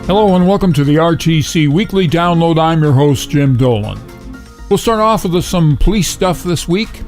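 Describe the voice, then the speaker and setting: A man's voice: on the high side, expressive, moderate pace, darkish tone, faint music behind. A man hosting a podcast, giving a scripted introduction to the show. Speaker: podcast host.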